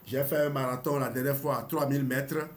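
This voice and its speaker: A man's voice: medium pitch, flat delivery, brisk, slight background noise. A man in his fifties reading a health book aloud and adding his own comments, often hesitating and restarting. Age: 50s. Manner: hesitant, with restarts and small laughs. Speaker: health channel host.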